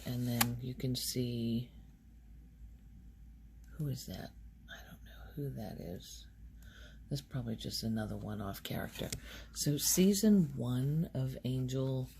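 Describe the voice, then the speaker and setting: A soft-spoken woman recording casual ASMR, rambling in a hushed voice and musing aloud as she goes. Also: rumbling low, slight background noise. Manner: hesitant, musing aloud, trailing off. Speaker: ASMR video creator.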